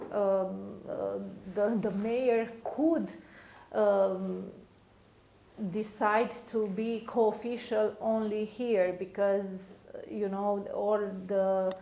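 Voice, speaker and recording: A woman speaking from the floor of a lecture, halting and full of fillers. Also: medium pitch, dark tone. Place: lecture hall. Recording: echoey hall.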